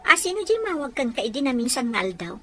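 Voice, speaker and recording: A woman's voice: high-pitched, sing-song, quick pace, pushed and bright, faint music behind, full character voice. A woman playing an earnest, persistent young girlfriend in an audio drama, insistent in how she speaks. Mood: suspicious, insistent. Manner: insistent, probing.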